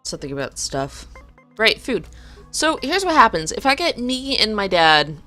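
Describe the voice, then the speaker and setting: A woman's voice: medium pitch, very animated, moderate pace, bright, forceful tone, faint music behind. A young woman hosting a let's play, chatting in a rambling, self-mocking way while coming down with a cold. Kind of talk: casual gameplay commentary.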